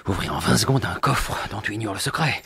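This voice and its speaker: An actor playing a wry, self-mocking gentleman burglar in a theatrical, colloquial 1950s French radio drama style. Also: lowish voice, quick pace, creaky, breathy edge, exaggerated voice. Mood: self-coaching, hushed. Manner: theatrical, talks to himself, colloquial.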